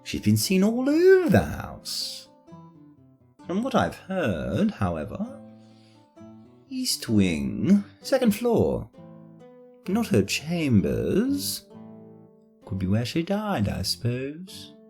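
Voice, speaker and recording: A man's voice: on the high side, wildly swooping pitch, slow, pauses often, a little mellow, faint music behind, heavily acted. A man playing a haughty nobleman who talks in a clipped, sardonic, imperious way in an audio drama. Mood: matter-of-fact. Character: haughty male nobleman hiring a ghost hunter.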